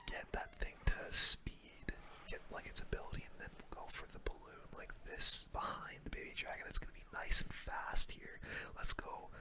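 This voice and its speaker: ASMR game streamer, soft breathy whisper, very bright hissy tone, casual gamer slang, murmured gameplay commentary. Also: moderate pace. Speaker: video game streamer, host.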